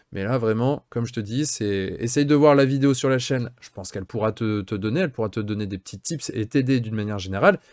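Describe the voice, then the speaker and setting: A man's voice: mid-range voice, darkish tone, no room sound, clean studio sound. A man in his late thirties with a French accent, a hobby channel host casually reading and answering viewer questions, chatty and full of fillers. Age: 30s. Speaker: miniature painting channel host.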